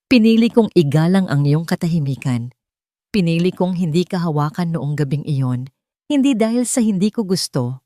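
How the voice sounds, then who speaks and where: A Filipino woman playing an earnest, devoted husband in a narrated story, speaking in a measured way. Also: low-pitched, dark tone, no echo, studio quality. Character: tender, earnest husband and new father, formal, devoted.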